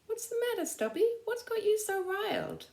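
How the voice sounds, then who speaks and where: A woman's voice: very high voice, big pitch swings, normal speed, vocal fry, darkish tone, pitched above the performer's own voice, exaggerated voice. A woman voicing a young male soldier in the trenches for a children's storybook. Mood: puzzled. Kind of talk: children's storybook read-aloud.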